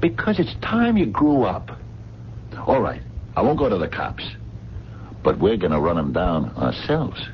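An actor in a radio drama playing a blunt, protective, skeptical friend with an exasperated, streetwise manner. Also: male voice, sits low, sing-song, a little slow, long pauses. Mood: insistent. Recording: dull, noisy 1970s radio recording.

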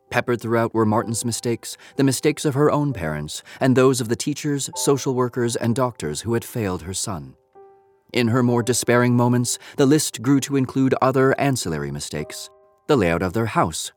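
A man's voice: on the low side, darkish tone. A man narrating a scripted audio drama in a storytelling style. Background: music.

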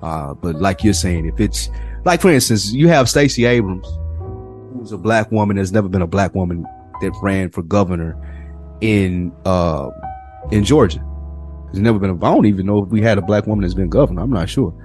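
Low-voiced man, podcast co-host. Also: brisk, a little mellow, faint music behind. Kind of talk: casual conversational podcast discussion.

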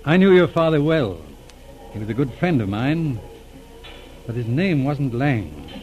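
An actor in a 1940s radio drama playing a shrewd, calm, middle-aged police inspector with a measured, probing manner. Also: male voice, medium pitch, muffled recording, soft background music. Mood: calmly probing. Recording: old radio drama recording.

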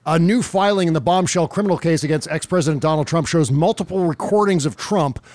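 Male American political podcaster, a touch high in pitch, conversational and emphatic, recorded very dry. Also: a little fast, darkish tone, no room sound. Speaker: podcast host. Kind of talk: casual political commentary.

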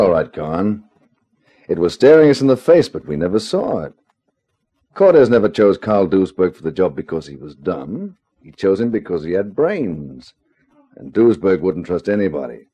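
Menacing nightclub racketeer, 1950s radio drama: dark, husky, drily sardonic. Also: male voice, low-pitched. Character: smooth-talking, menacing nightclub gangster boss.

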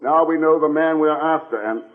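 An actor playing a quick-witted American secret agent with a brisk, wisecracking delivery in a 1940s radio adventure. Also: male voice, fairly high pitch, close to an everyday voice. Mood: exasperated. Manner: brisk, wisecracking, hard-boiled. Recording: muffled lo-fi old radio recording.

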